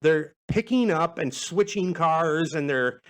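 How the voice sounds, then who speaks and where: A man with a slightly high voice hosts a solo podcast. He talks casually and wanders from point to point in a folksy way. He sounds amused by his own asides. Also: lively intonation, slow pace, a bit bright, smooth. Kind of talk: casual rambling solo podcast, answering listener questions.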